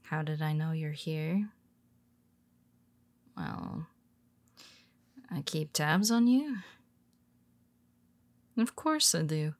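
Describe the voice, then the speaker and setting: Tired superhero woman, voiced by a woman, wry and teasing, unhurried delivery, dry close sound. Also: sits low, dry recording, exaggerated voice. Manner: teasing, soft-spoken, slips into whispers. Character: weary teasing female superhero, nemesis. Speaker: audio roleplay performer. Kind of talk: intimate audio roleplay.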